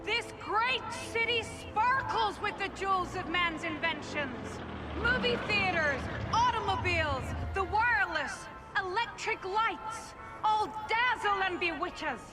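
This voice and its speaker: A woman's voice: very high-pitched, animated, unhurried. A woman playing a zealous street preacher in a game cutscene, declamatory and solemn. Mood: fervent, ominous. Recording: loud music behind the voice.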